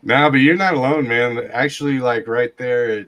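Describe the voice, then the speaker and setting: A man's voice: mid-range voice, normal speed, fairly bright tone, no echo. A man hosting a live stream, chatting casually in a joking, sometimes profane way. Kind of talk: casual chat. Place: indoors, online live stream.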